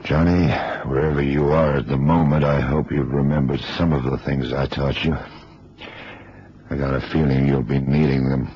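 An actor playing a shrewd, seasoned investigator who muses in terse fragments, in a vintage radio drama. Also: male voice, a bass voice, steady tone, normal speed. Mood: brooding, calculating. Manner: terse, musing, clipped fragments. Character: shrewd, seasoned male investigator, brooding mentor. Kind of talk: 1970s radio drama monologue. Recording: muffled, hissy old radio recording.

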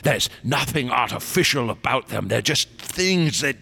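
An actor in a radio drama playing a fanatical, temperamental artist, rambling and stammering with feverish intensity in a gravelly, raspy voice. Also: male voice, mid-range voice, lively intonation, a little slow, sharp tone, a little hiss or hum, full character voice. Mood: feverish zeal. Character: fanatical, temperamental male artist of monstrous museum exhibits.

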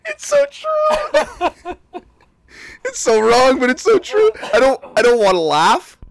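A man's voice: very high-pitched, wildly swooping pitch, takes it slowly, gravelly. A man co-hosting a podcast, rambling and crude in casual comedic chat, laughing a lot. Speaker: podcast co-host.

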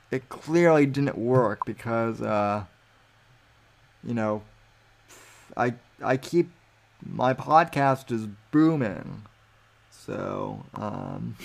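Slow, rambling young podcast host. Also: male voice, mid-range voice, vocal fry. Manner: rambling, meandering, self-assured. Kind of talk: solo livestream podcast, reading chat aloud.

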